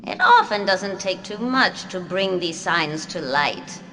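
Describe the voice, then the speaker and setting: A woman voicing a calm, knowing female magician in a game cutscene, measured and instructive. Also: on the low side, low-quality recording. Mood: mystical. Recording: game music behind.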